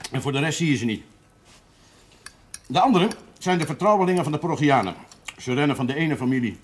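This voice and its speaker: An actor playing a worldly, good-humoured priest in a television drama, talking in an expansive, amiable way. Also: male voice, on the high side, close to an everyday voice. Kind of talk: television period drama acting. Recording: slightly noisy old television soundtrack.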